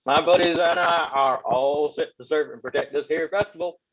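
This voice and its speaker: A man's voice: on the high side, normal speed, a bit bright. An actor playing a self-important, folksy security man in a comedy web series, heard over dull lo-fi call audio. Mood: proud, dutiful.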